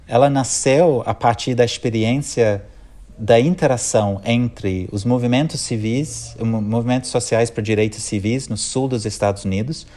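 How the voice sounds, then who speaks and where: A middle-aged man from England with an English accent, speaking Portuguese as a second language, giving thoughtful, measured interview answers as a conflict mediator, pausing and restarting phrases as he thinks. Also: mid-range voice, warm tone.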